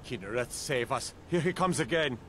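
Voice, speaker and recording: An actor playing an embattled town guard in a fantasy video game, urgent and tense. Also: male voice, medium pitch, animated, moderate pace, fairly bright tone, a little hiss or hum, lightly acted. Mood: alarmed. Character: frightened but fighting male town guard.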